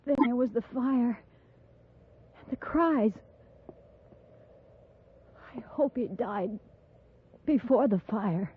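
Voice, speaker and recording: An actress playing a stoic, grieving frontier mother in her thirties in a 1950s radio drama, solemn and halting, with a breathy edge. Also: a little high. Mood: grief-stricken. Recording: muffled vintage 1950s radio recording.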